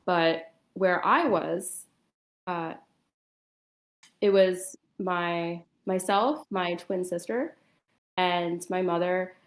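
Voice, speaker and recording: A woman in her thirties from Brooklyn, a guest telling her own story casually and haltingly. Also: on the low side, steady tone. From Brooklyn, New York, United States. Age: 30s. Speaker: interview guest. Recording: echoey room.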